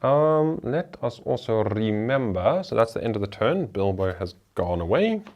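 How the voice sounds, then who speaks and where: Lively low-voiced man explaining a board game. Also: normal speed. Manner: chatty, talks through each move aloud. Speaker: board game show host. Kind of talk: solo board game playthrough, explaining rules.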